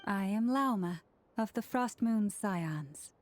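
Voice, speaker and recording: Calm courteous priestess for a video game, formal, mid-range voice. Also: female voice, mid-range voice, a little hiss or hum. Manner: measured, formal wording.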